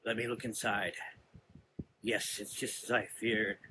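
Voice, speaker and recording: A man's voice: on the low side, little pitch movement, a little slow, sharp tone, a little hiss or hum. A man playing a brooding, cryptic adventurer with a grave, formal manner in a gothic horror audio drama. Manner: solemn, cryptic.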